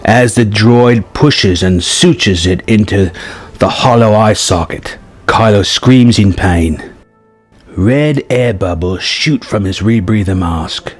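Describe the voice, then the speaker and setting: A man's voice: on the low side, takes it slowly, husky. A man reading out a scripted film story treatment as narrator, in present-tense prose. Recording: music behind the voice. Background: music.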